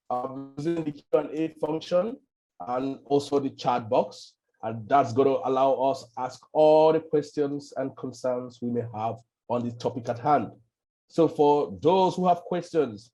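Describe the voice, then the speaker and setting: A man hosts an online panel through a video call mic. His voice is mellow and sits at a middle pitch. He talks in a relaxed way, with frequent "you know"s. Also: rough recording. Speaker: webinar moderator.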